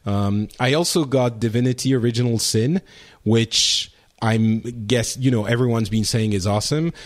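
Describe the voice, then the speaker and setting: A man's voice: mid-range voice, normal speed. A man co-hosting a gaming podcast in casual chat, rambling with many fillers and restarts. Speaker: podcast co-host.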